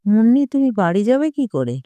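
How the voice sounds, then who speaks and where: A storybook narrator voicing a wistful man, an older family friend, musing and gently teasing. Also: medium pitch, very animated, moderate pace, no room sound, natural voice. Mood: fond.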